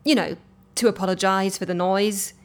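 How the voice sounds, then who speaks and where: An actress playing a brisk, droll, capable woman who gently bosses an eccentric office in an audio comedy. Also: medium pitch, steady tone, natural voice. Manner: droll, organised, gently bossy. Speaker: actor in a comedy fiction podcast. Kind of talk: audio comedy drama acting.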